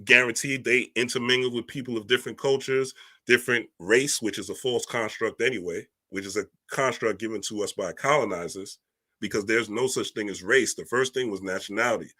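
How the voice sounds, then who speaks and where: Emphatic, bright-voiced male spiritual speaker. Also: on the low side, a little fast. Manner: emphatic, preachy, keeps asking "right?"; streetwise slang, swears casually. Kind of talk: casual spiritual monologue.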